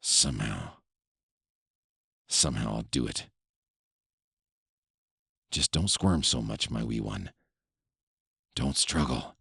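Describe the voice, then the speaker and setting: A man playing an embittered, obsessed and trapped kidnapper in a horror audio story, with a brooding, menacing and deliberate delivery. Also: a bass voice, expressive, creaky, pushed and bright, husky, no echo, voice pushed down, heavily acted. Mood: grim desperation, then menacing coaxing. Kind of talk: first-person horror audio story monologue.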